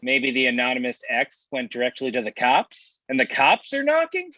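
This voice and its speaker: A man's voice: mid-range voice, wildly swooping pitch, normal speed, bright, forceful tone. A man playing a needling, wisecracking friend in a comedy table read, heard through a dull online-call connection.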